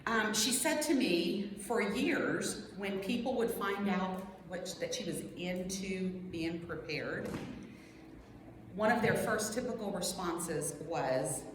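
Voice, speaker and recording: A woman's voice: mid-range voice, slow. Woman presenting in an echoey, noisy hall. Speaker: workshop presenter at a church women's meeting. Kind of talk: informal prepared talk to a group. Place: large echoey indoor room. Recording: echoey hall with clear background noise.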